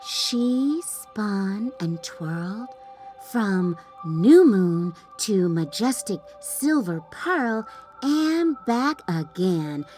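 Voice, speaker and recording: A woman reads a picture book to children with dramatic emphasis. Her delivery is extremely slow and very animated. Faint music plays behind her storytelling. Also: medium pitch. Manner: dramatic, deliberate emphasis for young listeners. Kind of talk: children's storybook read-aloud.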